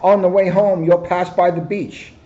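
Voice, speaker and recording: A man in his sixties, a police officer, reading a picture book aloud with joking asides, in a high-pitched voice. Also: steady tone, normal speed, mellow. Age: 60s. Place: office, indoors. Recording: dull, lo-fi camera microphone.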